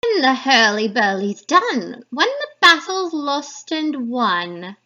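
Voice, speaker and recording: A woman voicing wicked old witches in a dramatic Shakespeare reading, chanting in slow, drawn-out lines. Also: sits high, sing-song, dull audio, pitched above the performer's own voice, exaggerated voice. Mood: ominous. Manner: slow, loud, drawn-out incantation.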